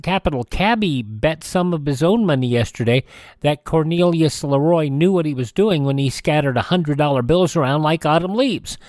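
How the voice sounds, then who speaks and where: An American man hosting a radio show, reading news items in a measured way with occasional stumbles and self-corrections. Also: mid-range voice, darkish tone, no room sound, studio quality.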